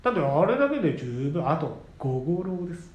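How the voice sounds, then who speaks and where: A man's voice: fairly high pitch, very animated, fast talker, highs cut off. A man co-hosting a podcast in casual comedic chat, jokey and playful with words, reacting with mock indignation. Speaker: podcast co-host. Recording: roomy echo, faint background noise.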